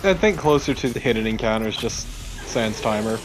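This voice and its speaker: A man with an average-pitched voice trades casual banter as a game co-commentator. He is wry and jokey, and swears freely. A faint noise sits behind him. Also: animated, a little fast, rough recording. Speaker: co-commentator on a let's play. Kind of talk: casual banter over gameplay.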